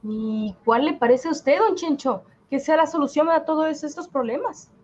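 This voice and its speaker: A woman's voice: sits high, moderate pace, darkish tone, voice pushed up, close to an everyday voice. A woman playing a sardonic, world-weary commoner with wry colloquial talk, in an amateur radio drama on a dull home recording. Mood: astonished, curious.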